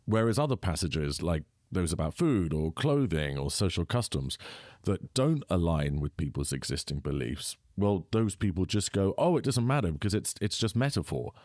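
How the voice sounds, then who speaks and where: A man hosting a podcast, reading a scripted introduction and then asking measured interview questions. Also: low voice, sing-song, a little mellow, no echo, studio quality. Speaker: podcast host.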